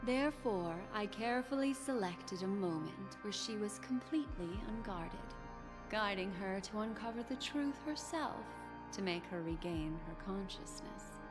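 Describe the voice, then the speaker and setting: A woman playing a poised, enigmatic keeper of memories in a video game, elegant and deliberate. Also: mid-range voice, expressive, music in the background, close to an everyday voice. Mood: composed, grave. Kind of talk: video game character acting.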